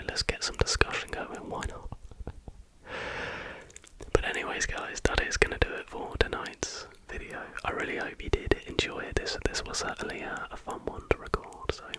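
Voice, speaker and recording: A man whispers softly and without hurry in an informal ASMR narration, as the host of the video. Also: low-quality recording.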